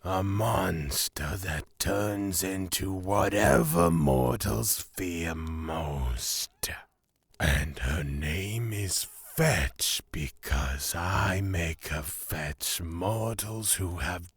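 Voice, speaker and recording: An actor playing a sinister god of fear in a fantasy audio drama, drawling with menacing calm and a gravelly, husky voice. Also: male voice, sits low, no room sound, exaggerated voice. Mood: quiet menace. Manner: slow, drawn-out, menacing calm.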